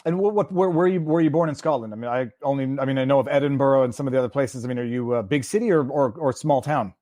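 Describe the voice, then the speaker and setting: A man's voice: medium pitch, quick pace, dark tone, dry recording, studio quality. A man from Quebec hosting a livestream interview, chatty and rambling, firing off many questions. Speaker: host.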